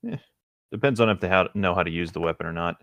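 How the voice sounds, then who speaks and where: A man's voice: low-pitched, fast, a bit bright, dry recording, dull audio. A man bantering casually on a podcast, making laconic, offhand contrarian comments. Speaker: podcast participant.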